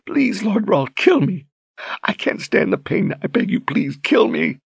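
A man's voice: sits high, very animated, moderate pace, creaky, bright, forceful tone, slightly rough, dry recording, voice pushed up, exaggerated voice. Man putting on a sobbing, desperate warrior woman. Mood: pleading. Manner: screaming, sobbing, pleading. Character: anguished young female warrior, bound by oath.

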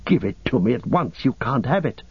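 A man's voice: on the low side, lively intonation, unhurried, slightly rough, dry recording, dull audio. A man voicing a wounded, despairing young hobbit in an audiobook, anguished and breathless, veering from weary to frantic. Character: wounded, despairing, feverish young male ring-bearer hobbit.

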